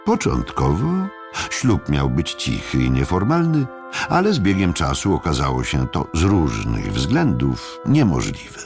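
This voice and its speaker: A male audiobook narrator with a deep, gravelly voice reads literary prose dramatically. His phrasing is deliberate, full of pauses, and his pitch swings very widely. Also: huge pitch swings, a little mellow, faint music behind.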